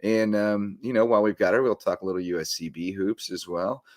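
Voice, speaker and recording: A hoarse man co-hosting a local sports podcast in a casual, rambling way. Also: lowish voice, fairly even intonation, brisk, no echo, clean studio sound. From South Carolina. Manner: rambling, filler-heavy. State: hoarse, tired voice. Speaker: podcast co-host.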